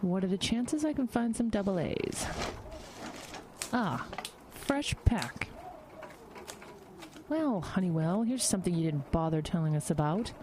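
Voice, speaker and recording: A woman's voice: medium pitch, lively intonation, unhurried, pauses often, noisy background, lightly acted. An actress playing a sceptical, bossy woman bureaucrat in an audio drama, with a sardonic, no-nonsense, brusque manner. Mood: curious, musing. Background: music.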